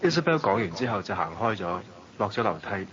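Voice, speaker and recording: An actor playing a suave, worldly gentleman narrating and talking in a radio drama, with a Hong Kong Cantonese accent. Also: fairly low pitch, sing-song, fairly quick. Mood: reflective, measured. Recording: dull lo-fi audio, noisy background.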